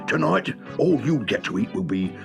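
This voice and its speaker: A man's voice: mid-range voice, sing-song, moderate pace, creaky, soft background music. A man voicing a furious, spiteful laundromat owner who screams and scolds in a children's story. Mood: pained, furious. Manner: shrieking, scolding.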